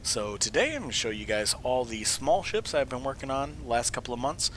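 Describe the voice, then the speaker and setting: A man with a cold talking casually through his builds in a game, his voice hoarse and sometimes fading to a whisper, rambling and correcting himself as he goes. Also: mid-range voice, sing-song, brisk, a bit bright, slight background noise. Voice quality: hoarse, dropping to a whisper at times. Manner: rambling, self-correcting, jokey. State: voice mostly gone from illness, sniffling. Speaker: video host showing game builds. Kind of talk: casual gameplay walkthrough.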